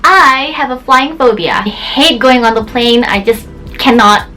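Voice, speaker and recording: A woman's voice: fairly high pitch, measured pace, sharp tone, low-quality recording. A young woman in her twenties, raised in Indonesia and a native Indonesian speaker, chatting casually to her camera about herself with lots of "like" fillers. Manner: chatty, bubbly, many "like" fillers. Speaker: beauty vlogger.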